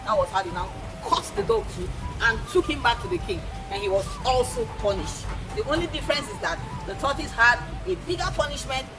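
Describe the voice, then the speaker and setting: A woman's voice: fairly high pitch, very animated, fairly quick, few pauses, over music, low-quality recording. A woman with a Nigerian accent who tells folktales to children as an educator, repeating points and asking questions in a teacherly way.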